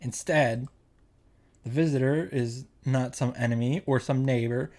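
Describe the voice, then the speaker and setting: A man's voice: mid-range voice, fairly even intonation, unhurried, darkish tone. A man giving a casual recap and reaction commentary, with exasperated asides, as host of a visual novel playthrough. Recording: music behind the voice. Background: game music.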